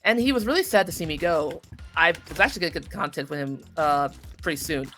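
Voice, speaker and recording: A woman with a slightly low voice talks casually as an interview guest. She is animated and self-critical, voicing her own inner thoughts as quotes. Music plays in the background. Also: fast talker. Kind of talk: casual chat while playing a video game.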